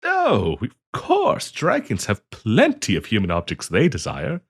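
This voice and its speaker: Man playing half-dragon broker and diplomat for fantasy roleplay audio: lowish voice, very animated pitch, polite, earnest, hesitant. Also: husky, full character voice. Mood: light, genial. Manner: hesitant, polite, halting with frequent ums and soft chuckles. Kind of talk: roleplay audio acting, addressing the listener.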